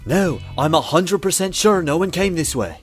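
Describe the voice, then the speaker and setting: An actor playing a brash young frat bro, cocky, slangy and dismissive. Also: male voice, on the high side, animated, moderate pace, faint music behind, lightly acted. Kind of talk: voiced game dialogue.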